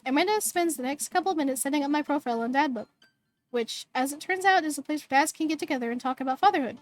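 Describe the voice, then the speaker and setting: Bubbly high-voiced woman streamer, a bit hoarse. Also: fast, soft background music. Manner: bubbly, chatty, stumbles over words. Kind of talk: live stream, reading game text and greeting chat.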